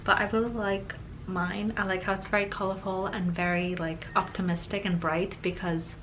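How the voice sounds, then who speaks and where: A woman's voice: medium pitch, level tone, normal speed, fairly bright tone, slight background noise, low-quality recording. A woman in her thirties narrating a planner video in a chatty, rambling way, her voice a little off from a cold she is getting over. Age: 30s. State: slightly off voice from a cold. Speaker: planner vlogger.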